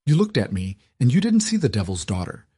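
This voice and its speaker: A man voicing a guarded, cynical young noblewoman who talks briskly and erupts in fury. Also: on the low side, lively intonation, a little mellow, breathy edge. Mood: furious. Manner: brisk and clipped, breaking into furious outbursts.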